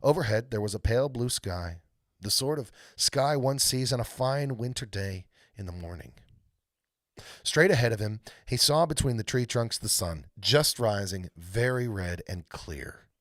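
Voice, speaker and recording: Animated male storyteller with a very lively range. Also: lowish voice, dry recording, clean studio sound. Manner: dramatic, deliberate pauses. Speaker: read-aloud storyteller hosting a live stream.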